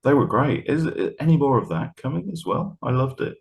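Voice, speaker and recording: A man's voice: mid-range voice, big pitch swings, brisk, creaky. A man chatting casually as he interviews a guest.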